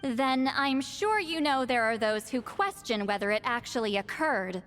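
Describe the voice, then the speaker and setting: An irritable, condescending shaman elder with a surprisingly youthful, high voice. Her delivery is blunt and very animated, in video game style. Music plays softly behind her. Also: normal speed. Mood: blunt, imperious. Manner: brusque, condescending. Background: background music.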